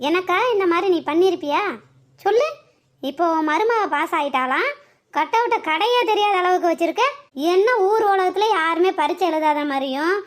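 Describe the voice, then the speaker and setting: A high-pitched, middle-aged Tamil mother-in-law from a cartoon soap parody, acted by a performer. She nags and complains in an exasperated, sarcastic, colloquial way. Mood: sarcastic complaining.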